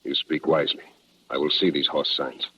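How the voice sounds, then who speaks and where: An actor playing a proud, resolute chief in a 1950s radio drama, with formal, measured phrasing and no contractions. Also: male voice, extremely low pitch, flat delivery, pushed and bright, husky, heavily acted. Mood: grave, measured. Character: proud, resolute Apache chief, grave and principled. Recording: muffled vintage radio broadcast.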